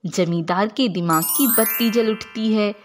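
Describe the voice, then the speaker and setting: A woman's voice: medium pitch, measured pace, faint music behind. A woman giving scripted storytelling narration as the narrator of a tale.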